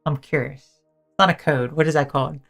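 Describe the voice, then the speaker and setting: A hoarse woman streamer chatting casually and teasingly with her viewers as she wraps up a live stream, often correcting herself mid-sentence. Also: low-pitched, steady tone, fairly quick, faint music behind. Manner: rambling, self-correcting, teasing chat toward viewers.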